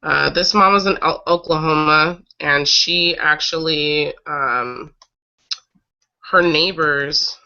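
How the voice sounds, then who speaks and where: Casting: woman online host, bright but slightly raspy with a cold, halting casual delivery, dull lo-fi call audio. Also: low-pitched. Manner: halting, often pausing mid-sentence to regroup. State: getting over a cold. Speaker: host of an online breastfeeding advocacy show. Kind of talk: casual live webcast chat, recounting stories. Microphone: online video call.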